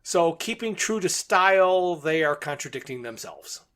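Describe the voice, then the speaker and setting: A man with a fairly high-pitched voice, hosting a podcast. He walks through a drama episode point by point in a conversational recap, with a chuckle here and there. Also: expressive, normal speed, a bit bright.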